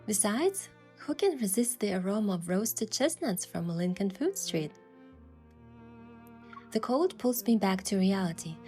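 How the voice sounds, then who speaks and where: Young hunter girl from a game, mid voice, wistful first-person narration, playful edge, soft music behind. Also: mid-range voice, big pitch swings, a little slow. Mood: reflective, descriptive. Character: young female hunter heroine, earnest, wistful, playfully cheeky.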